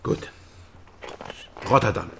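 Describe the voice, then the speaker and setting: An actor playing a dry, guarded investigator in a radio crime drama, speaking tersely and understated. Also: male voice, slow, a little hiss or hum, natural voice.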